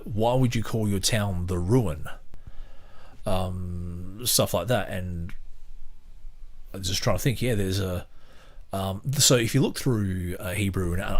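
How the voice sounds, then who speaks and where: A man hosting a podcast, giving casual, rambling commentary on a book in a halting, sardonic manner. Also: fairly low pitch. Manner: halting, sardonic, mock-surprised at times. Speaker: podcast host.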